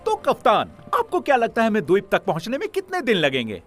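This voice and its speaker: An actor playing an earnest, self-assured man of rank in a cartoon dub, with a formal, animated manner. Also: high voice, fast talker, soft background music. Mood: impatient. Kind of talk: Hindi cartoon dub acting.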